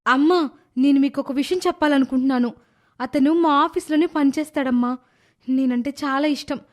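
A gentle young mother from a storybook, protective of her child, speaking in a soft, high falsetto that a male narrator puts on. Her voice sits well above his own and pleads softly. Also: fairly even intonation, brisk. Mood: nervous.

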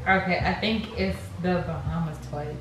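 A woman guest at a home party, reading a game card aloud a bit haltingly and guessing answers. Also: fairly low pitch, fairly even intonation, faint music behind. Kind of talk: casual chat at a party game. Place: home kitchen, indoors. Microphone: far camera. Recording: echoey room with faint noise.

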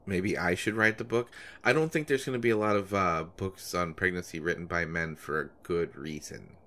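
A man's voice: low voice, normal speed, pushed and bright. A man playing an anxious, devoted boyfriend of a pregnant woman in an intimate roleplay audio, speaking in a hesitant, stammering way. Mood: earnest, teasing. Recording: noticeable background noise.

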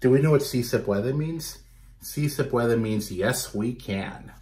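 A man in his thirties reading a picture book aloud to children in an expressive way, talking to them directly. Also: medium pitch, unhurried, heavy background noise. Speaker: storytime reader for children. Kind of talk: animated picture-book read-aloud with asides to young viewers. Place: small bedroom at home, indoors.

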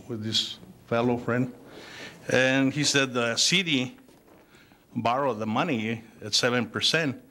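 A middle-aged man with a Spanish accent, speaking haltingly with many fillers at a city council public comment, into a podium microphone in a council chamber. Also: mid-range voice, pushed and bright. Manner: halting, with frequent fillers and restarts. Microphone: podium microphone on a gooseneck.

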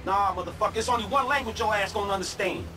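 A man's voice: high voice, fast talker, over music, a crowd in the background, close to an everyday voice. A man acting a brash, streetwise middle-aged American fixer in a video game, with slangy, profane swagger. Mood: aggressive, taunting.

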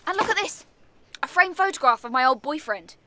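A woman's voice: high-pitched, expressive, fairly quick, bright, forceful tone, no echo. A woman with a British accent playing a sardonic, defiant neighbour in an audio comedy play, brusque and sarcastic.

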